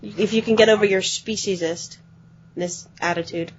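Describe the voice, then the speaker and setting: A woman co-hosting a podcast, chatting quickly about video games and often letting her phrases trail off. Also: mid-range voice, steady tone, breathy edge. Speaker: podcast co-host. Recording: dull lo-fi podcast audio.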